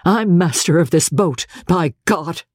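A woman voicing an excitable, commanding male riverboat captain in an audiobook, loud and emphatic. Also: on the low side, a little slow, breathy edge, dry recording, clean studio sound, voice pushed up.

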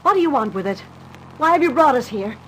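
An anxious, well-spoken lady in a 1950s radio thriller. Her voice is high and very expressive, with slight noise on the old recording. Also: fast talker, poor audio. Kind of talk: 1950s radio crime drama acting.

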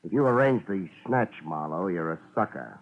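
A man's voice: sits low, lively intonation, normal speed, phone-quality sound. A man playing a tough, laid-back private detective, laconic and wry, in a 1950s radio crime drama. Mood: tough, firm. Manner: wry, hard-boiled, laconic. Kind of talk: 1950s radio drama acting with first-person narration. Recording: old 1950s radio recording.

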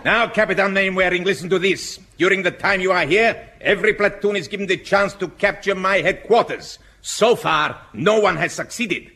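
An actor playing a stern, brisk Spanish training captain who barks precise orders in a radio sitcom. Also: male voice, high voice, bright, forceful tone. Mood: stern, menacing. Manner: barking, precise, commanding. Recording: faintly noisy old radio recording.

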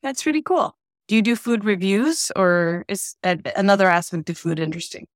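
A woman's voice: medium pitch, animated, fairly quick, dry recording, clean studio sound. A woman hosting an interview, asking short questions with enthusiastic, brief reactions. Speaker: podcast host, interviewer.

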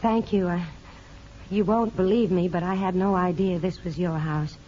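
An American woman playing an anxious mother longing for her child, earnest and halting, in 1950s radio drama style. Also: lowish voice, fairly even intonation, warm tone, close to an everyday voice. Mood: hesitant. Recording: muffled, noisy old radio broadcast.

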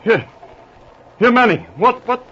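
High, fussy, trusting old-radio doctor. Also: male voice, lively intonation, a little slow, fairly bright tone, a little hiss or hum, exaggerated voice. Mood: flustered. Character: gentle, trusting, flustered doctor, betrayed husband. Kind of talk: 1940s radio drama acting. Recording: muffled old radio recording.

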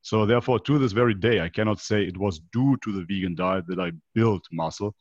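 Low-voiced European-accented man, dull recording. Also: fairly quick, no room sound. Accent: foreign European. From North Macedonia, raised in Germany. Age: around 30. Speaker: podcast guest. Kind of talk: conversational interview, personal account. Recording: dull, band-limited online call.

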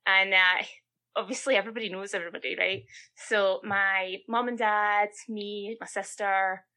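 A chatty Scottish woman with a bright, forward voice tells a story in a relaxed, conversational way. She keeps checking in with the listener as she talks. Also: medium pitch, moderate pace. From Scotland. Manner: chatty, tags questions with "right?". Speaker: podcast co-host. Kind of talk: casual storytelling.

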